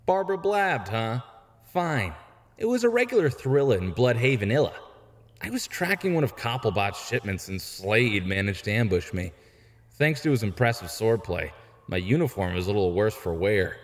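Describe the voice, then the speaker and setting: A man's voice: lowish voice, big pitch swings, moderate pace. A man playing a wisecracking young vigilante and former sidekick in an audio drama, full of breezy, sarcastic banter. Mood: cocky. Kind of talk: fan audio drama acting. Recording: long hall-like reverb.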